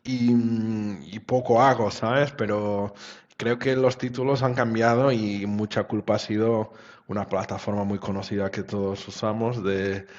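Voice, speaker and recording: A dull, slightly muffled recording of a man with a low voice. He is a native Portuguese speaker giving casual interview answers. He speaks haltingly and often checks for agreement at the end of a phrase. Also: level tone. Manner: halting, often seeks agreement with tag questions. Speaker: interview guest, web developer.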